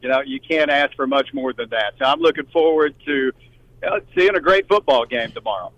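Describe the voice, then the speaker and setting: A man's voice: medium pitch, fairly quick, fairly bright tone, dry recording. A man who works as a sports announcer, giving conversational analysis as a radio guest. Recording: dry, slightly dull remote-guest audio.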